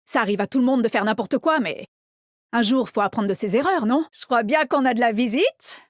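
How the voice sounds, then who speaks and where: A woman's voice: on the high side, brisk, no echo, clean studio sound, lightly acted. An actress playing a cocky, teasing female squad leader in a video game, with a confident, brash and punchy delivery. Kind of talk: video game character voice lines.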